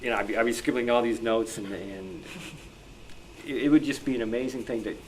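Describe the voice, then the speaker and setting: A man speaking off the cuff in a group discussion, haltingly searching for his words. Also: medium pitch, fairly even intonation, darkish tone, a little hiss or hum, rough recording. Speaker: club discussion participant. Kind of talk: casual, unscripted discussion.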